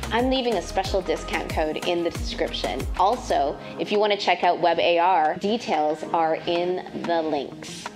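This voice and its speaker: A woman in her thirties chats through a recipe from her home kitchen, loose and relaxed, dropping fillers as she goes. Her voice sits on the lower side, and clear music plays under it. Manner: chatty, relaxed, frequent fillers. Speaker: host and tech sales lead. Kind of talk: casual cooking vlog and pitch.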